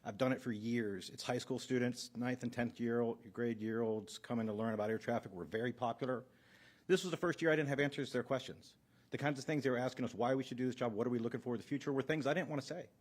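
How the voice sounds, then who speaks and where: A man's voice: mid-range voice, fast talker. A man giving testimony as an air traffic controller at a press conference, earnest, in short plain sentences.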